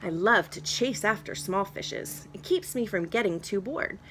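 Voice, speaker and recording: A woman's voice: mid-range voice, expressive, unhurried, a bit bright, a little hiss or hum, poor audio. A woman voicing a bored, hungry male swordfish in playful rhyme from a storybook. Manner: playful rhyming storybook reading.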